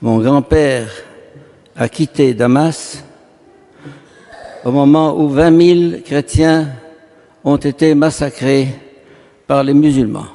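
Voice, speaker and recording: A man giving an impassioned public speech, slow and declamatory, stacking word after word for effect. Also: a little high, gravelly, warm tone. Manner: emphatic, slow and declamatory, lists words in a row. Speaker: speaker at a public meeting. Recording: long hall reverb.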